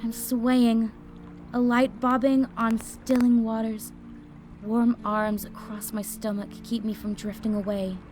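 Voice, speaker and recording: An actress playing an exhausted young fire mage, narrating an audio drama in the first person in a dreamy, drifting way. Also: female voice, a little high, flat delivery, measured pace, natural voice. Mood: dreamy, fading. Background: music.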